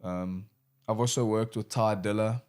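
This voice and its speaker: A man's voice: on the low side, fairly even intonation, darkish tone, clean tone. A young man, a rapper chatting casually in an interview, laid-back and slangy. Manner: laid-back, slangy, says "bro" often, quick laughs. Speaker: rapper and producer guest.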